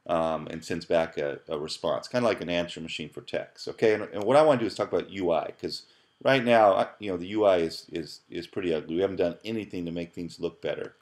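A man with a very deep voice talks through a programming screencast. He explains casually as he goes, thinking aloud with fillers and restarting words. He sounds middle-aged and relaxed, like a teacher at his desk. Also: fast talker. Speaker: professor teaching app programming. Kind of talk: screencast tutorial narration.

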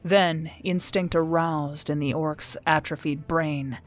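A woman's voice: sits low, steady tone, slow pace. A woman reading a scripted war story as a dramatic audiobook narrator. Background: music behind the voice.

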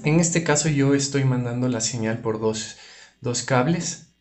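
A man giving an informal guitar effects tutorial, explaining things in a halting way with restarts. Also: medium pitch. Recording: roomy, reverberant room sound. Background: electric guitar playing.

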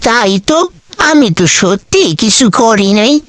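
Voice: medium pitch, lively intonation, unhurried, fairly bright tone. A performer with a Bangladeshi accent voicing a timid, scheming male lackey in a comic cartoon, wheedling and theatrical. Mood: baffled. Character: scheming, timid, flattering crow-nicknamed male political lackey.

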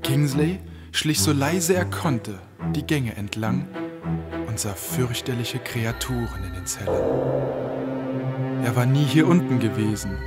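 Male dramatic narrator over loud music. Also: medium pitch, expressive, unhurried. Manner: suspenseful, portentous. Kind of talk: scripted dramatic storytelling narration.

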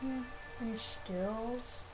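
A woman's voice: on the high side, very slow, music in the background, poor audio. A woman chatting casually and expressively as she plays a game on a stream. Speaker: gaming streamer. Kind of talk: casual co-op let's play chat.

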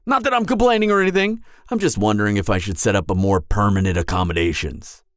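A man's voice: fairly low pitch, expressive, fast talker, a bit bright, no room sound, clean studio sound. A man voicing a friendly, clumsy, apologetic giant in a roleplay audio drama, talking in a hesitant, flustered ramble. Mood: eager, reassuring.

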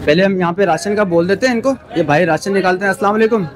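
A man's voice: sits high, quick pace. A man vlogging on a street, politely urging others along and repeating short phrases. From Pakistan. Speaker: charity vlogger. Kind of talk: casual vlog narration. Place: busy street and small shops outdoors. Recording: noisy street ambience with traffic.

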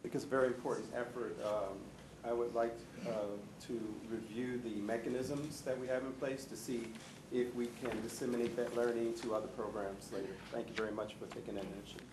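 A man serving as trustee, asking questions at a board meeting in halting phrases with restarts. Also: mid-range voice, flat delivery. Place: large conference room. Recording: echoey noisy meeting room.

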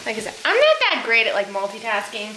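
A woman's voice: on the high side, huge pitch swings, fast talker, heavy background noise. Flustered chatty young woman in an echoey kitchen. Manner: self-deprecating, flustered, chatty. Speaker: cooking vlogger. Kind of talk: casual cooking vlog. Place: small home kitchen, indoors. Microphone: camera across the kitchen. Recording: echoey kitchen, far camera mic.

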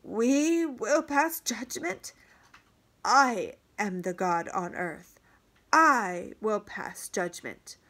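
A woman's voice: medium pitch, lively intonation, very slow, a bit bright, heavily acted. A woman voicing a dying old male god-king who whispers faintly, then speaks with solemn command.

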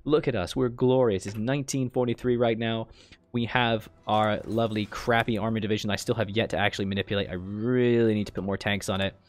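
A man in his thirties with a gravelly voice, livestreaming a strategy game from home, chatty and joking while reading chat and thanking followers. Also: lowish voice, fairly even intonation, a little fast. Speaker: streamer. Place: home streaming room, indoors.

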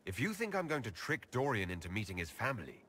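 A man voicing a level-headed, dryly teasing elf leader in a video game, with a husky voice and measured delivery. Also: on the low side, very animated, a bit bright, a little hiss or hum. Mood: wary, questioning. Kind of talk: video game dialogue acting.

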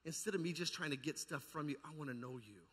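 Male pastor, forceful bright delivery, fervent evangelical preaching with emphatic repetition, amplified in a long-reverb church hall. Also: a little high, fast, slightly rough. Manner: puts rhetorical questions to the congregation. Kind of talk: charismatic evangelical sermon. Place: large indoor church hall.

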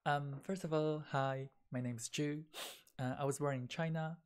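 A man in his thirties who grew up in Italy, giving a recorded software talk from a small room at his desk in a loose, conversational way full of "you know" and "um". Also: fairly high pitch, steady tone, normal speed, smooth. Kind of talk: recorded tech talk presentation.

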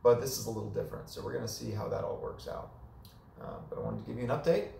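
Hoarse, gravelly young man in an echoey room. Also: mid-range voice, quick pace, recorded from a distance, slight background noise. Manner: relaxed and chatty, with many fillers. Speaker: vlogger. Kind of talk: casual recovery update. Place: small living room indoors. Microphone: camera a few meters away.

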